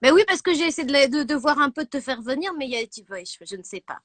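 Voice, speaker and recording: A fairly high-voiced woman rambles and jokes in a mock-formal way on a video call. She sounds dry and close to the mic. She is in her thirties and a guest on a live stream. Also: animated, fast, dry recording. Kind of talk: casual chat.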